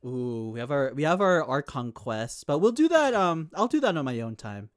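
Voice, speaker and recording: A man chatting casually on a gaming livestream in an informal, excitable way, often repeating his phrases. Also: on the high side, moderate pace. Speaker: game streamer.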